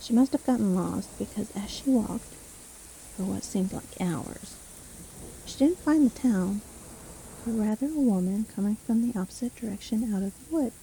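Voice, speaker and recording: A woman playing a seemingly kindly, sly witch who lives alone in a forest, speaking gently and unhurriedly in a fantasy audio roleplay. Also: mid-range voice, lively intonation, moderate pace, vocal fry, a little hiss or hum, poor audio. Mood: hushed storytelling. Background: rain storm ambience.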